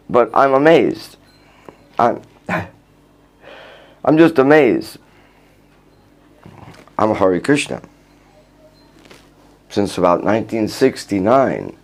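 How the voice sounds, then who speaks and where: Older man telling rambling stories. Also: on the low side. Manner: halting, with restarts, chuckling. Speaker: vlogger recounting his life. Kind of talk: rambling personal storytelling. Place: outdoors in Vrindavan.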